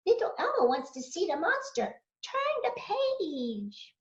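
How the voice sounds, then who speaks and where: A woman's voice: very high voice, huge pitch swings, unhurried, voice pushed up, full character voice. A woman voicing a little childlike male monster, playful and sweetly insistent, in a children's storybook read-aloud. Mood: eager. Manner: sweetly insistent, third-person baby talk. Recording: roomy, dull lo-fi sound.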